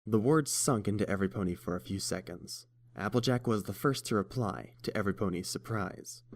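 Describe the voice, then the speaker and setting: A man's voice: lowish voice, fairly quick, no room sound, clean studio sound. A man reading scripted story narration as the narrator.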